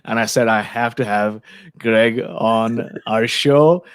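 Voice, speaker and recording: A man's voice: medium pitch, fairly even intonation, takes it slowly, smooth, no room sound. A man hosting a spiritual podcast, reading an upbeat intro and asking questions over a video call. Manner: upbeat, scripted intro with fillers. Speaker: podcast host.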